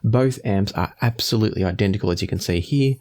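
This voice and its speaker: Guy with a lowish voice doing a casual gear review, chatty and explains everything thoroughly. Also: fast talker, a little mellow. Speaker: product reviewer, host.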